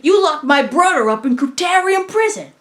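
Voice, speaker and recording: A woman's voice: high voice, lively intonation, moderate pace, voice pushed up. A woman voicing a big, dim, belligerent male thug in a cartoon, with slangy, ungrammatical tough-guy talk. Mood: angry, aggrieved.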